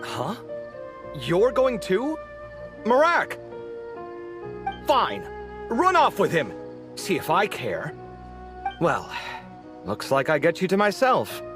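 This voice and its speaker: A chatty friendly young architect. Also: male voice, high voice, huge pitch swings, slow pace, pauses often, music in the background, heavily acted. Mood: indignant. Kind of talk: game voice acting. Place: studio.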